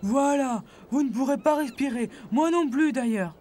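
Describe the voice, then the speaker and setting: A man's voice: very high voice, moderate pace, a little mellow, a little hiss or hum. A man voicing a small, talkative, sceptical sea animal in a French cartoon dub, with a teasing, questioning delivery. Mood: cheeky.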